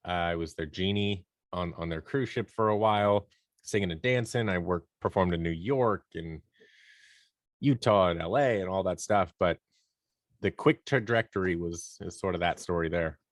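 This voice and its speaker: A man with a lowish voice speaks in a casual interview style. The sound is dry, with little room in it. He explains things in an animated, conversational manner, as a guest would. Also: moderate pace, dry recording. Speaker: podcast guest, audio drama producer and performer.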